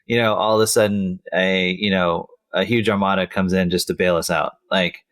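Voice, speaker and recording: Halting man with a lowish voice. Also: fairly even intonation, a bit bright. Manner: halting, thinking aloud. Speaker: podcast panelist. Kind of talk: casual chat.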